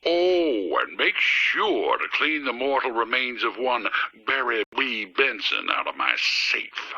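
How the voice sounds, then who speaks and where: A man voicing a smug, scheming lawyer villain in an animated game, with a pompous, drawn-out, gloating delivery. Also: mid-range voice, husky, dry recording, lo-fi sound, full character voice.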